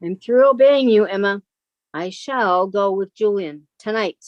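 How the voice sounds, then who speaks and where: A woman's voice: mid-range voice, measured pace, darkish tone, no echo, dull audio, natural voice. A woman playing an earnest, love-struck and defiant sister in her thirties, in an old-time radio melodrama style.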